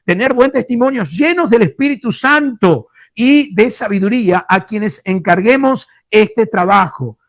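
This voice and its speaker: A man's voice: sits high, lively intonation, unhurried, few pauses, no room sound, studio quality. A man with a Rioplatense Spanish accent, a pastor giving an emphatic Bible teaching sermon with frequent hesitations. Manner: emphatic, addresses listeners fondly, frequent hesitations.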